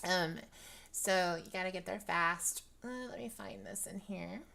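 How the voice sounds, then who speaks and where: A young woman with a dry, raspy voice, chatting casually through a product review with lots of ums and restarts. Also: on the low side, measured pace, bright, forceful tone. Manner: rambling, many ums, laughs often. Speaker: virtual-world fashion vlogger.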